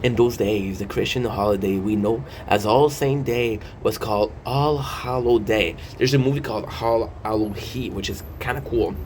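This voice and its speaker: A man's voice: on the low side, normal speed, few pauses, a little mellow. Man in his thirties over background noise. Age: 30s. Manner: jokey, self-interrupting, playful asides. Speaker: video host. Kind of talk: casual rambling vlog, reading an article aloud with asides.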